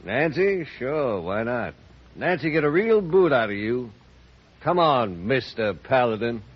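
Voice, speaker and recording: An actor playing a cocky, folksy young outlaw with an American Western drawl in a radio western. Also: male voice, mid-range voice, very animated, unhurried. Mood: cool, cocky. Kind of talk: 1950s radio western acting. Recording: dull, noisy old radio recording.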